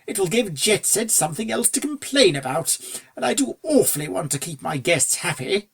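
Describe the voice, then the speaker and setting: A reader voicing a genteel, good-natured upper-class gentleman stallion in a storybook, with a posh, dithering, old-fashioned way of talking. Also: mid-range voice, sing-song, moderate pace, creaky, voice pushed up. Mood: affably fussy. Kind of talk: storybook character dialogue.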